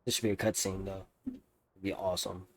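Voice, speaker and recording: A man's voice: deep voice, level tone, fast. A man hosting a reaction video, giving casual, slangy, laid-back commentary over a game. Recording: faint background noise, game audio behind. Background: game dialogue and ambience.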